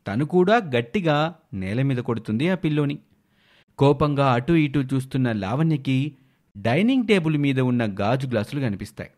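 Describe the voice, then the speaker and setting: A man's voice: mid-range voice, a little mellow. A male narrator with a mid-range voice reads a scripted moral story for animation. He describes the scenes as a storyteller.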